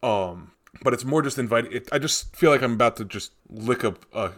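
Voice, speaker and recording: Casting: casual guy co-hosting a beer show, chatty and meandering, medium pitch. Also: brisk, fairly bright tone. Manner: rambling, tangential, with many fillers and restarts. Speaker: podcast co-host reviewing beer. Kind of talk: casual chat.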